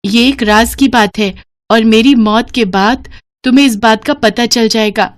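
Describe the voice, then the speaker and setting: Casting: woman to play a conceited cartoon nanny goat, high-voiced, proud and expressive. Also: measured pace, close to an everyday voice. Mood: defiant.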